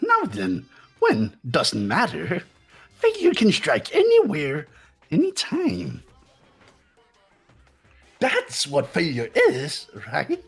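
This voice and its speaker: A man's voice: huge pitch swings, slow pace, long pauses, husky, soft background music, heavily acted. A man voicing a mischievous, sinister male bear mascot in a fan-dubbed game, with a singsong, theatrical delivery. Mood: taunting. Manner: singsong, theatrical, wild pitch swings.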